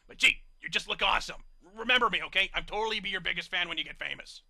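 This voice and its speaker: A man's voice: high voice, a little fast, gravelly, sharp tone, no echo, heavily acted. A man voicing a huge, grumpy gray male earth pony who bursts into gushing admiration. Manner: blustering then gushing.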